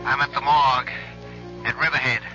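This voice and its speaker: An actor playing a dutiful police subordinate making a matter-of-fact report in a 1970s radio drama. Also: male voice, medium pitch, steady tone, moderate pace, sharp tone, faint music behind. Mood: businesslike. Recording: dull old radio recording.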